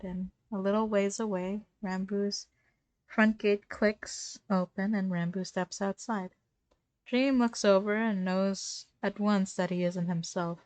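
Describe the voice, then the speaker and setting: Slow-paced woman reading fan fiction aloud. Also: medium pitch, darkish tone, dry recording. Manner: giggly, breaks off to react. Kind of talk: informal group read-aloud of a story with reactions.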